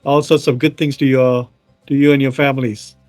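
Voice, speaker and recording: A man's voice: medium pitch, flat delivery, brisk, a little mellow, dry recording, faint music behind. A man from Malaysia co-hosting a casual tech show, talking loosely with many fillers while demoing his screen over a video call. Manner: chatty, many fillers, laughs easily.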